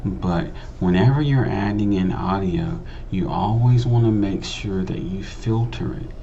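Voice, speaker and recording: A man's voice: fairly low pitch, a little slow, gravelly, dark tone, dull audio, slight background noise. A man giving a casual tutorial voice-over in a conversational, explanatory way, repeating phrases for emphasis. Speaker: host of a video editing tutorial channel.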